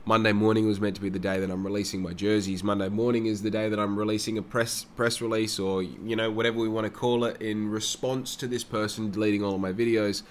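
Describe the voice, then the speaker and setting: A young man talks in a low voice, rambling and swearing casually as he tells his own story. Faint background noise sits under his voice. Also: flat delivery, fast talker, hardly any pauses. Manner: rambling and heavily profane. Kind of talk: casual personal monologue.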